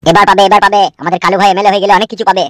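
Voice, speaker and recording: A man's voice: high voice, little pitch movement, very fast, a bit bright, no echo, studio quality. A man with a rural West Bengal accent voicing an insistent, bullying, foul-mouthed political henchman in a comic cartoon. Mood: impatient. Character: pushy, foul-mouthed male party henchman canvassing for votes. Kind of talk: fast comic cartoon dialogue.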